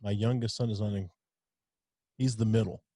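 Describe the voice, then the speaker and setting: A man's voice: lowish voice, steady tone, moderate pace, dark tone, dry recording, clean studio sound. A man in his late thirties co-hosting a podcast, chiming in and teasing in casual chat. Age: late 30s.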